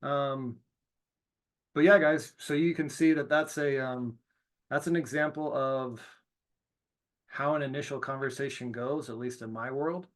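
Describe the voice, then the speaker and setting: A man's voice: medium pitch, fairly even intonation, a little slow, pauses often. Casual, self-deprecating male instructor. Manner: informal, self-deprecating, often checks in with "okay?". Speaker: trainer teaching students about cold calls. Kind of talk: casual video lesson with commentary.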